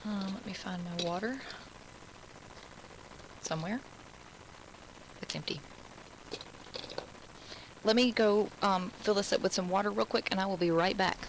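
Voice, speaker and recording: A woman with an Alabama Southern accent talks at a mid-range pitch, chatty and rambling as she walks through a craft project at home. She is speaking into a computer mic, with a faint hiss of noise underneath. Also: unhurried, pauses often. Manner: chatty, rambling asides while working. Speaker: crafting class host. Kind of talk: live-streamed crafting tutorial.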